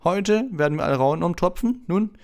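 A man voicing a brisk, practical female herbology professor instructing her class in an audiobook. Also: sits high, expressive, darkish tone, pitched above the performer's own voice. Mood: brisk, instructive. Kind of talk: audiobook character voice.

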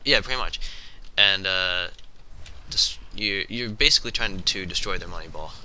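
A man's voice: low-pitched, normal speed, sharp tone, slight background noise. A man giving casual, chatty gameplay commentary while he plays, reacting as he goes and tossing asides to his co-commentator.

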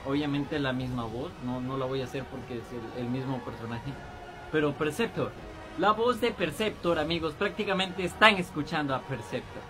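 A young man in his twenties with a Costa Rican accent, chatting animatedly to fans from a small bedroom. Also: a little high. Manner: animated, gestures a lot. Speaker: fan voice actor, channel host. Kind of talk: casual video chat to fans.

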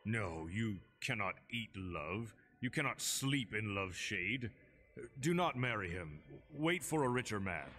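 A man playing a wise, solemn king who is tormented by indecision, in an animated fairy tale. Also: fairly low pitch, a little slow, slight background noise. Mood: troubled.

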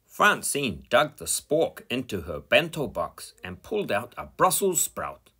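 Male storybook reader. Bright, very animated pitch. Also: husky. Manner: slow, expressive reading. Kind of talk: children's picture book read-aloud.

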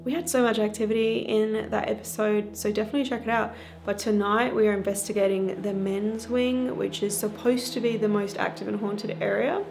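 A woman's voice: medium pitch, steady tone, fairly quick, vocal fry, few pauses, a little mellow, faint music behind. Chatty young woman ghost-hunting vlogger. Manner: chatty, giggly, upbeat. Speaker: paranormal vlogger host. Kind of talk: casual vlog presenting. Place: inside dim old stone prison cell block.

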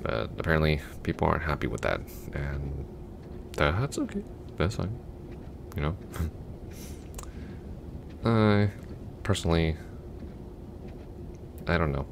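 A man's voice: very animated, unhurried, long pauses, background noise, full character voice. A young man playing a chatty, goofy, affectionate long-distance boyfriend in a roleplay audio, talking casually in a rambling, hesitant way. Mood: rambling, bashful. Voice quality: very deep, gravelly.

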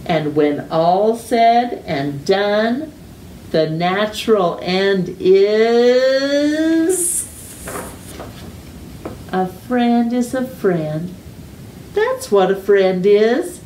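A middle-aged woman reading a children's picture book aloud with deliberate, exaggerated storytime delivery and drawn-out words. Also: medium pitch, expressive, mellow. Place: living room at home. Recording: roomy echo, distant mic, faint noise.

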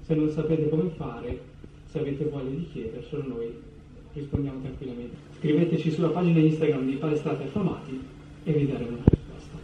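A man's voice: medium pitch, a little fast, dull audio. A young man, a fitness vlogger, chatting casually to camera in a small home kitchen and giving food-prep tips with a joking tone. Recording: echoey kitchen, distant mic, noisy.

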